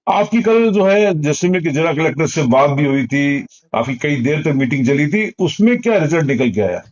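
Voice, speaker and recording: Guy reporter asking long rambly questions on a call, highish voice, bit muffled. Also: lively intonation, moderate pace. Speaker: journalist interviewer. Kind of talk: phone interview questioning. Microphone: phone. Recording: dull, muffled phone call recording.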